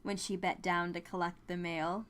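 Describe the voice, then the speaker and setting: A woman reading scripted fiction as an expressive audiobook narrator. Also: lowish voice, moderate pace. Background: music.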